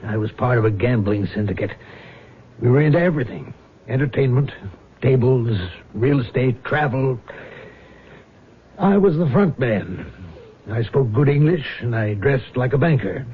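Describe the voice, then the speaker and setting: An actor playing a weary former gambling front man on the run, confessing in a deliberate, halting way in a radio drama. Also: medium pitch, animated, slightly rough. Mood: frank, weary. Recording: noisy, tinny old radio broadcast.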